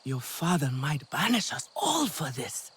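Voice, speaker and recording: A man playing a wry, affectionate Na'vi companion, expressive and coaxing, in a dramatic video game. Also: a little high, unhurried, a little hiss or hum, full character voice. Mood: wry, wary.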